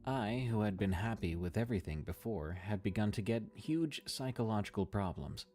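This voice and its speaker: A man reading a first-person horror story in a measured, somber scripted narration. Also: lowish voice, animated, darkish tone, soft background music.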